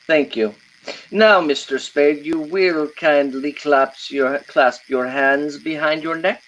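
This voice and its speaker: An actor playing a fussy, polite, furtive Greek crook with formal, hesitant phrasing, in old-time radio style. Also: male voice, a little high, takes it slowly, a bit bright, muffled recording. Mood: nervous, insistent. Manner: formal, hesitant, over-precise.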